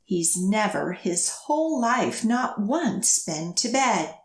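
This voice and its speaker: A woman's voice: medium pitch, very animated, slow, reverberant. A woman hosting storytime for young children, reading picture books expressively and giving encouraging, simple instructions. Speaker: children's librarian, storytime host.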